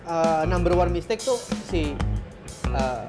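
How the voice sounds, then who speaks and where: A man's voice: a little high, expressive, slow pace. A young man from Indonesia, a barbershop founder, chatting casually in a home kitchen on a lapel mic, mixing in English business terms and fillers. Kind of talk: casual chat while cooking. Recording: background music over the talk. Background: background music and frying sounds.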